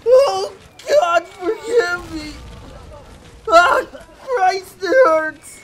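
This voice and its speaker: Agonized, high-pitched crying soldier. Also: male voice, expressive, very slow, a bit bright, slight background noise, exaggerated voice.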